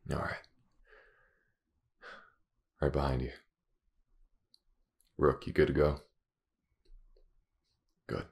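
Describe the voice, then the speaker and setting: A man's voice: a bass voice, little pitch movement, extremely slow, long pauses, slightly rough, exaggerated voice. A man playing a blunt, skeptical, watchful detective in an audio roleplay drama. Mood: wary.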